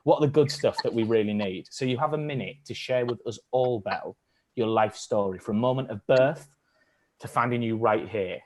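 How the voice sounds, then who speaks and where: A man's voice: medium pitch, brisk, darkish tone. Upbeat man coaching a workshop. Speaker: workshop leader. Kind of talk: live-streamed acting workshop.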